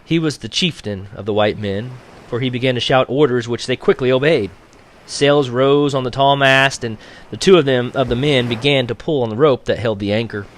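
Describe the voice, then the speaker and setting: A man reading a novel aloud as a teacher would for his class, in an expressive audiobook style. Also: medium pitch, a little hiss or hum.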